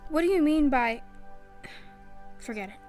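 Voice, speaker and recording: A woman's voice: sits high, animated, takes it slowly, darkish tone, soft background music. A woman playing a bewildered, anxious adventurer full of questions in an audio drama. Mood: confused, frustrated. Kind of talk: scripted audio drama dialogue.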